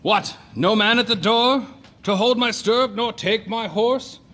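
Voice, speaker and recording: A man plays a blustering, domineering master in Shakespearean comedy. He declaims imperiously in a high male voice at a slow, deliberate pace. Faint noise sits in the live amateur recording. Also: a bit bright. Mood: angry, imperious. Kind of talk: Shakespearean audio play acting.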